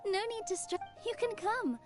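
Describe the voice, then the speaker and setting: A woman voicing a gentle, cheerful young dancer in a video game, speaking in a warm, friendly way. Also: very high-pitched, lively intonation, normal speed, over music. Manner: warm, friendly, upbeat delivery.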